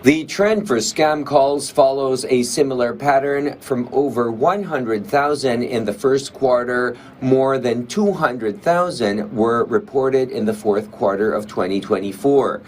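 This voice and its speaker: Male reporter, Filipino accent, average pitch, reading a news package with slight noise behind. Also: unhurried, few pauses, rough recording. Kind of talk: scripted news narration.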